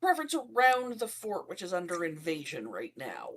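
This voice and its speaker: A woman's voice: fairly low pitch, huge pitch swings, moderate pace, vocal fry. A woman playing a tabletop roleplaying game, talking casually and in character.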